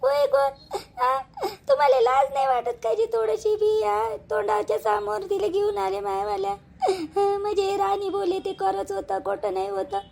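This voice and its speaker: A woman's voice: very high-pitched, very animated, moderate pace, vocal fry, hardly any pauses, warm tone, exaggerated voice. A woman playing a wronged young wife, crying and reproachful. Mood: tearful reproach. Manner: sobbing, reproachful. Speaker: actor in a family drama skit.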